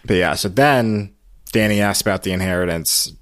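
A male podcast host chats through a recap in a deep voice. His delivery comes in short, halting pieces, recorded very dry. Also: a bit bright, no room sound. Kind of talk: casual recap commentary.

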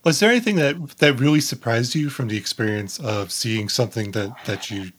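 Male podcast interviewer, average pitch, relaxed conversational questioning style. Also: a little fast.

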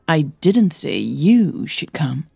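Audio drama daughter, adult, defensive and uneasy, low-pitched with slow delivery, acted by a woman reader. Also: big pitch swings, vocal fry, darkish tone, breathy edge, no room sound, clean studio sound, faint music behind, full character voice. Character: defensive, uneasy grown daughter of a wealthy mother.

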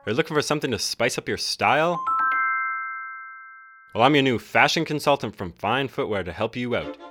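A man's voice: medium pitch, expressive, fairly quick, a bit bright, lightly acted. A man playing an upbeat, pushy salesman in a scripted old-time radio advertisement. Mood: upbeat, selling. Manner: emphatic salesmanship. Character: upbeat, pushy shoe-store pitchman. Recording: music behind the voice.

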